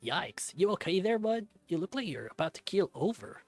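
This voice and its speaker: A man's voice: high voice, big pitch swings, normal speed, no room sound, faint music behind, voice pushed up, heavily acted. A man voicing a laid-back, brash and teasing lion guard in a visual novel, with a cocky, casual manner. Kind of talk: visual novel character reading.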